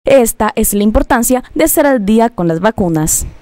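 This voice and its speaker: A woman reading a scripted news voice-over in an even, formal way. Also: on the high side, normal speed. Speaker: news reporter.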